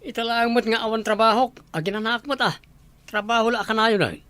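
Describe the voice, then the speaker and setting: A man's voice: high-pitched, a little fast, pushed and bright, no room sound. A man acting a selfish, brash young husband who gambles and chases women in an Ilocano audio drama, with an Ilocano accent. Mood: dismissive. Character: selfish young husband and father, irresponsible womanizing cockfight gambler.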